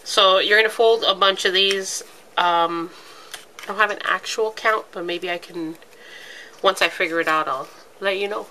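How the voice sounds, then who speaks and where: A woman with a head cold talks through a home craft tutorial, her congested voice still bright and clear in tone. She rambles casually with frequent ums as she works with her hands. A faint hiss sits under the home recording. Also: medium pitch, steady tone, normal speed, low-quality recording. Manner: chatty, lots of ums, talks while working with her hands. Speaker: craft video host.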